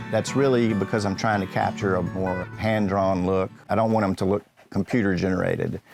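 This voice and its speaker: Low, gravelly man over guitar music. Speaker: artist, illustrator and painter. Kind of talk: documentary interview monologue. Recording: music behind the voice. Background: plucked guitar music.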